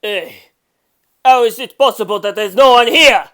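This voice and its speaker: A woman's voice: a little high, normal speed, a bit bright, clean tone, voice pushed up, natural voice. A woman voicing an arrogant male gangster boss from a story, indignant and sneering as a scheming villain. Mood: irritated.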